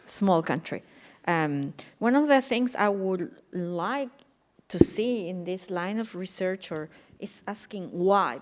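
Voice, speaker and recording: Chilean woman, hesitant panel speaker. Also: fairly low pitch. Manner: hesitant, searching for words, with soft laughs. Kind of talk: conference panel discussion.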